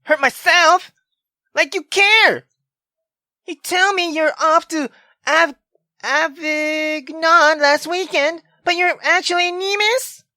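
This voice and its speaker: Woman acting a shrill, jealous, furious wife. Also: slow, pushed and bright, dry recording, studio quality, full character voice. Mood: furious, hurt. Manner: shrill, accusing, sarcastic.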